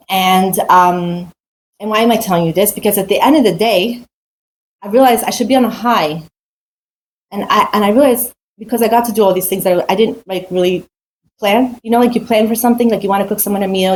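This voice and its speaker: A woman's voice: medium pitch, a little mellow, slight background noise, low-quality recording. An adult woman teaching a weekly Torah portion in a casual, earnest way, with frequent restarts and rhetorical questions. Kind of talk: casual spoken Torah talk.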